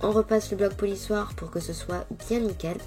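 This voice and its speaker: A French woman with a stuffy head cold, chatting lively and directly to viewers while showing a nail tutorial. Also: mid-range voice, normal speed, faint music behind. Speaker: nail technician. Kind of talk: casual tutorial vlog.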